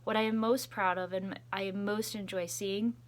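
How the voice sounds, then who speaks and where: A woman's voice: medium pitch, fairly even intonation, moderate pace. A woman reading a children's picture book aloud expressively, adding chatty asides as a school storytime reader.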